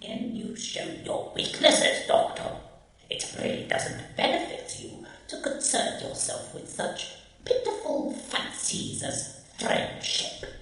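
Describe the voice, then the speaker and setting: A cruel cyborg tyrant from a radio play, harsh and electronically processed. The voice is very high and swings widely, with slow, pompous menace. It rings in a heavy echo. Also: male voice, huge pitch swings, gravelly, fairly bright tone, exaggerated voice. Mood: gloating menace. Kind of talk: radio drama villain acting. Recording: echoey fan-made audio drama.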